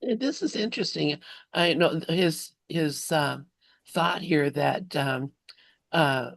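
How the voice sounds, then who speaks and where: Higher-voiced man with heavy vocal fry. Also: slow pace, fairly bright tone, no echo. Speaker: study group participant. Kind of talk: informal reflective spiritual discussion.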